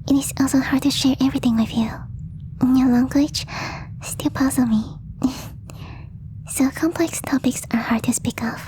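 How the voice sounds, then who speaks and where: A woman voicing a gentle, devoted young female alien in a soft, breathy whispered ASMR roleplay, speaking hesitantly in formal, slightly stilted phrases. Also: high voice. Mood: shy, hesitant.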